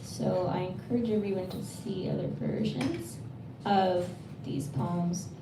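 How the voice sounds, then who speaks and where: A woman's voice: fairly low pitch, unhurried. Earnest woman translator in an echoey room. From United States. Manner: thoughtful, earnest, with frequent restarts. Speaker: poetry translator. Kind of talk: answering questions at a live reading. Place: bookstore event space. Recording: roomy, distant, noisy room sound.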